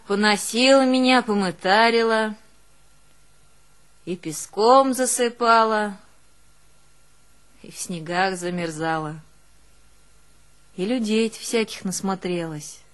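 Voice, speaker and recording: A woman's voice: mid-range voice, a bit bright. An actress playing a weathered, sorrowful village woman, in a folksy, dramatic theatre monologue that slips into song. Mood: weary, bitter. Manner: drawn-out, folksy, dramatic, swinging from murmur to outcry. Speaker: stage actress in a one-woman show. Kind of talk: theatrical monologue with folk singing.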